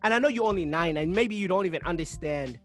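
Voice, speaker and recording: A man's voice: sits high, lively intonation, fast talker, soft background music. A man from Uganda hosting a podcast interview, thoughtful and searching for his words, often restarting his sentences. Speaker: podcast host. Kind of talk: casual interview chat.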